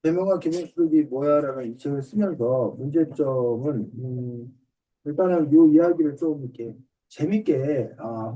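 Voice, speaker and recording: A man's voice: medium pitch, dark tone. A middle-aged man, an author and teacher, politely explaining things step by step in simple terms during an online class. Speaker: author and reading teacher answering a student's questions. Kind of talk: explanatory talk in an online book discussion class. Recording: distant mic on online video call.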